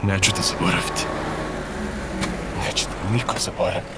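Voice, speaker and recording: A man's voice: low voice, fairly even intonation, a little fast, very noisy, close to an everyday voice. A man playing a grieving prisoner of war mourning a brother, mournful and intense, in an audio drama. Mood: anguished grief.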